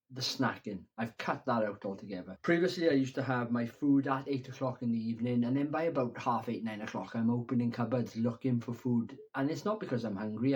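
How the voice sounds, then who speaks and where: A man talking through his own vlog, moving between a read voice-over and loose diary-style chat. He sounds candid and reflective, with a wry, self-deprecating streak, at an ordinary middle pitch for a man. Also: a little mellow. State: congested from a cold. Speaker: vlogger. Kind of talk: mix of scripted voice-over narration and casual diary-style talk.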